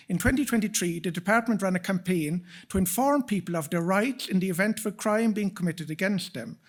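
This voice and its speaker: A speaker with an Irish accent, hosting an event and presenting in a formal but live style. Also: mid-range voice. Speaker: event host. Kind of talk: bilingual event presenting to an audience.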